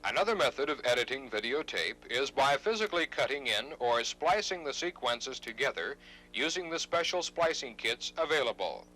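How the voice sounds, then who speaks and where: Bright-voiced man narrating an instructional tape. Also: mid-range voice, expressive, moderate pace, rough recording. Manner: formal, precise, instructional. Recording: 1970s instructional videotape narration.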